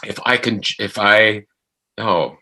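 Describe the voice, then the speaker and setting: A man's voice: fairly low pitch, slow pace, pushed and bright, a little hiss or hum. A man in casual reflective discussion, speaking tentatively and searching for his words. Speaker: actor discussing his podcast drama.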